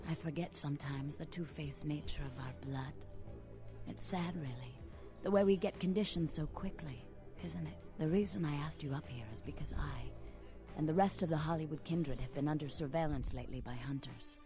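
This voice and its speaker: An actress voicing a seductive, melancholic female vampire nightclub owner in a video game, with a breathy, teasing, lingering delivery. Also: sits low, moderate pace, music in the background, natural voice. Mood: sultry, wistful.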